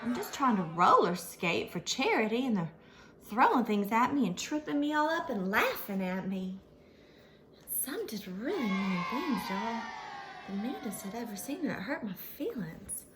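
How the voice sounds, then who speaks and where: A woman's voice: fairly high pitch, sing-song, moderate pace, background noise. A woman voicing a sweet, folksy little female pony, telling her story dramatically and earnestly to children. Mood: hurt, pleading.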